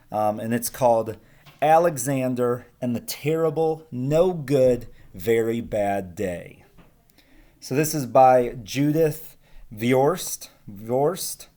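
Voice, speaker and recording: A man hosting a children's picture-book read-aloud, speaking casually and expressively. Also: medium pitch. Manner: expressive, animated reading. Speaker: read-aloud host, teacher-like reader.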